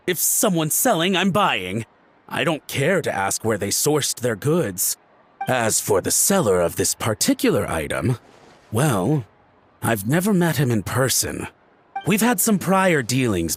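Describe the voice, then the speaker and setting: A man's voice: on the high side, breathy edge, heavily acted. An actor playing a nervous, fawning male antique collector in a video game cutscene, pleading and stammering.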